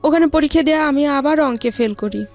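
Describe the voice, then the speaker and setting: A woman recounting her own life story as an interview guest, with halting, hesitant delivery. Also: high-pitched, darkish tone, faint music behind. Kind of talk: personal life-story narration.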